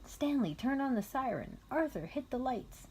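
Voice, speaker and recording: A woman's voice: sits high, lively intonation, moderate pace, noisy background, voice pushed up. A woman voicing a jovial, commanding male fire chief from a children's storybook, with a hearty, reassuring manner. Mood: urgent shouting. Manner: hearty, reassuring, giving orders.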